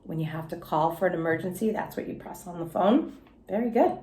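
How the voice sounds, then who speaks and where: A woman teacher reading a children's story aloud in an expressive, instructive way, pausing to quiz her young listeners. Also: lowish voice, a little hiss or hum. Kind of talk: children's story read-aloud with questions to pupils. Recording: echoey room.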